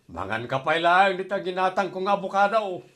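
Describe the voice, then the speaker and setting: Casting: bantering Ilocano son, fairly high voice with lively swings, radio comedy. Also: male voice, moderate pace. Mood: puzzled, bantering. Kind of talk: broadcast comedy radio drama acting.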